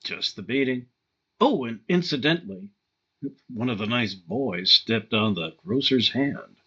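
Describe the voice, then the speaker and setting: An actor playing a breezy, nosy newsroom colleague in an old-time radio drama, colloquial and wisecracking. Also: male voice, medium pitch, expressive, a little slow, sharp tone. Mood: offhand. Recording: dull, lo-fi audio.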